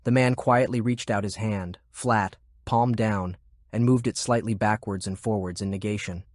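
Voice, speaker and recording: A man reading scripted fiction narration in a measured, deliberate way. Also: lowish voice, fairly even intonation, darkish tone, no room sound, studio quality. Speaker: audiobook narrator. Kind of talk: scripted narration of a fantasy war novel.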